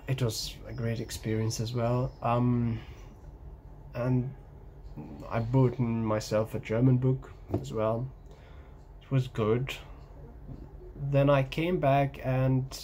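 A man's voice: medium pitch, dark tone. Young Egyptian man, hesitant casual vlog delivery, medium voice, slight background hiss. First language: Egyptian Arabic. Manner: hesitant, many ums and restarts, gestures while talking. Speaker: language-learning vlogger. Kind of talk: casual talking-head vlog. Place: small room at home by a window.

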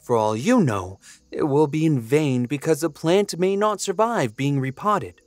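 A man's voice: fairly high pitch, expressive, a little slow, dry recording. A man playing a fussy, confident god of order in a fantasy audio drama, pedantic and precise. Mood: pedantic, assured.

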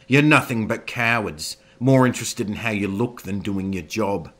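A man voicing an enraged young werewolf prisoner shouting at his guards. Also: lowish voice, moderate pace, pitched above the performer's own voice. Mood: furious. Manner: screaming, bitter.

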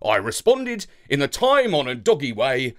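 A man voicing an excitable, loving, dramatic space dog who narrates a children's story with theatrical enthusiasm. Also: mid-range voice, moderate pace. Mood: storytelling.

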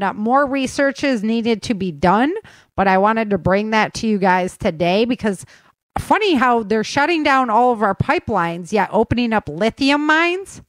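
A woman's voice: mid-range voice, no echo. An American woman hosting an informal podcast news roundup, chatty and speaking straight to her listeners with opinionated asides. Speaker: podcast host reading news.

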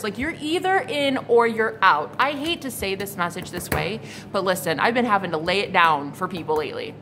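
A woman in her thirties doing a casual tarot reading to camera, blunt and exasperated, swearing freely and repeating herself. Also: mid-range voice. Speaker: psychic tarot reader. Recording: noticeable background noise.

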